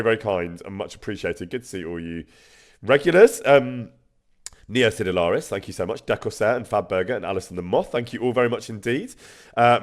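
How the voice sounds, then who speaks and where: A man with a bunged-up nose, chatting casually on a live stream, self-deprecating and full of ums. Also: lowish voice. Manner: chatty, self-deprecating, thanks viewers warmly with frequent ums. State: congested, stuffy nose.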